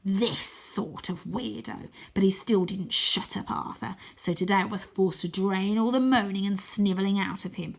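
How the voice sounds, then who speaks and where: A woman voicing a gloating male scarecrow villain in a storybook, with a raspy, snarling voice and slow, sneering threats. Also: mid-range voice.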